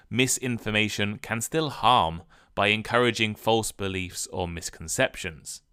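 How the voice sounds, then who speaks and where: Guy reading out a vocab lesson, lowish voice but bright and crisp, dead dry sound. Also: no room sound. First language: English. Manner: clear, measured delivery that sounds read from a script. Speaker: podcast host and English teacher. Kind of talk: scripted educational narration.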